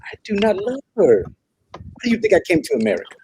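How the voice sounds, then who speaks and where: A man's voice: high-pitched, huge pitch swings, quick pace, vocal fry, warm tone, a little hiss or hum, full character voice. A man playing an earnest, lovesick young African prince in a comedic table read, pleading dramatically. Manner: pleading, dramatic, repeats words.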